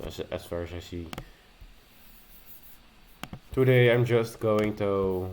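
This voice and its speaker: Male tutorial host, low and unhurried, halting and a little nervous, faint hiss behind. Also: a little mellow. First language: Dutch. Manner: nervous, hesitant, with many fillers and restarts. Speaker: tech video host. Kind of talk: casual screen-recording tutorial.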